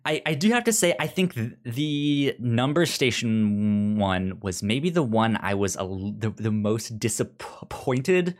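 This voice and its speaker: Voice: mid-range voice, animated, a little slow, hardly any pauses. A podcast co-host chatting casually, with a stammer that often repeats sounds and words. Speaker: podcast co-host reviewing audio drama. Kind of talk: casual chat.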